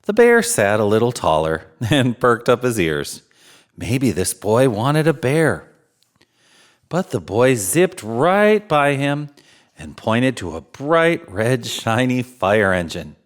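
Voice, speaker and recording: A man reading a children's storybook aloud as a jolly storyteller, with unhurried, dramatic phrasing. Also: medium pitch, very animated. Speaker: storyteller in Santa persona.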